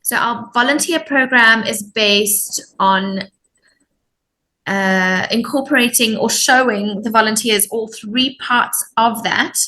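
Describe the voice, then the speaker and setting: A woman's voice: on the high side, takes it slowly, pushed and bright, rough recording. A woman from Zimbabwe, explaining her work as a volunteer program coordinator in an interview. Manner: friendly, informative, with many ums.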